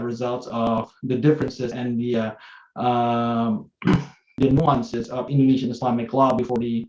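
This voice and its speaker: A man introduces himself at mid pitch in a loose, chatty way, now and then laughing between thoughts. His voice rings with plenty of room echo around it. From United States. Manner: relaxed, rambling, with laughs. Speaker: attorney. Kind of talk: casual self-introduction at online workshop.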